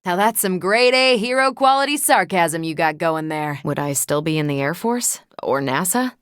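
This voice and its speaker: Actress doing a confident american superhero lady from a video game, lowish voice, wry and casual, super dry close recording. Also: no room sound, close to an everyday voice. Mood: reflective, wry. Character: confident wry military superheroine, adult woman, loyal and brave. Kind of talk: video game character dialogue.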